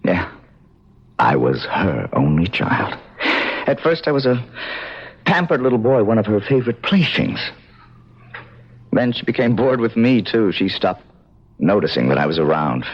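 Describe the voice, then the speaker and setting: An actor playing a haunted, bitter man in an old-time radio drama, brooding and deliberate, with dramatic pauses. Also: lowish voice, sing-song, breathy edge, full character voice. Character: haunted, bitter man traumatised by his parents' past. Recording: dull lo-fi vintage radio broadcast.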